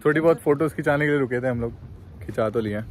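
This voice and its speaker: A young man casually narrating a weekend trip vlog outdoors, relaxed and chatty. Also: mid-range voice, fast talker. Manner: relaxed, chatty, laughs with friends. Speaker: travel vlogger. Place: outdoors by a cafe and road.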